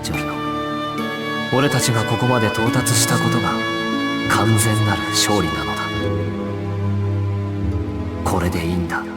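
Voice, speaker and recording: An actor playing a resolute, stoic young gangster squad leader in a Japanese action video game, speaking with solemn, commanding authority. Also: male voice, fairly low pitch, moderate pace, long pauses, lightly acted. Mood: calm, resigned. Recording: music behind the voice.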